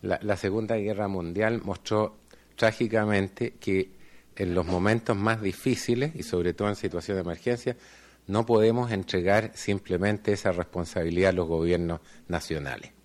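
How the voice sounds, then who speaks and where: A deep-voiced middle-aged man addresses a panel in a conference hall. He speaks in a measured, formal way and often stops on small fillers as he builds his points. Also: fairly even intonation, a little mellow. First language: Spanish. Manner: measured, hesitant with frequent fillers. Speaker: panel speaker, law school dean.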